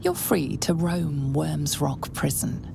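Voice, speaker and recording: A solemn storyteller for a fantasy game, her low voice edged with gravel. She narrates to the player in a measured, understated way, with clear noise behind her. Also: exaggerated voice. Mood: measured, ominous. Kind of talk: scripted second-person game narration.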